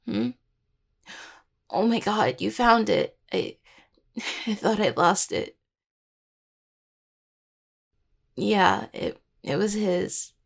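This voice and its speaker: A woman playing a grieving, tender young necromancer in an intimate audio roleplay, speaking haltingly and apologetically to a partner. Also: medium pitch, lively intonation, creaky, breathy edge, exaggerated voice. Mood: surprised, wistful.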